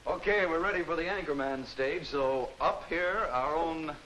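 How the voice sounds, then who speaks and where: An American man hosting a live 1950s television game show with quick, bantering remarks to the players. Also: a little high, fairly bright tone. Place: television studio. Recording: noisy old TV kinescope with studio crowd. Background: studio crowd and heavy noise.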